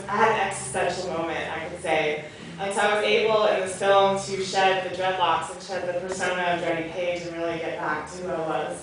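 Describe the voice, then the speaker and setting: A woman's voice: fairly low pitch, far from the mic. A woman, a film actress, talking candidly and earnestly in a rambling way at a movie theatre Q&A. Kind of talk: candid personal panel talk. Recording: echoey theatre, off-mic, noisy.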